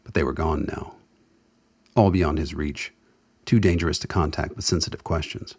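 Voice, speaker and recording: A man giving dramatic scripted narration for a radio play in a husky voice. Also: a bass voice, fairly even intonation, moderate pace, no room sound, clean studio sound.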